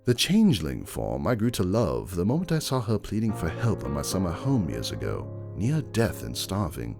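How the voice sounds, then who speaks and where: A man narrates fiction dramatically in the first person. His voice is fairly low with a creaky edge and very wide swings of pitch, set over faint music. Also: huge pitch swings, normal speed. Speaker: audiobook-style reader.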